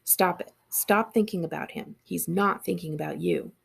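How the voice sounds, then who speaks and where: A woman reading aloud as a tense, defensive teenage girl telling her own story, with a dramatic, expressive delivery. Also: fairly low pitch, normal speed, creaky, slightly rough, heavily acted. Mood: self-scolding. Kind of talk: first-person audiobook reading.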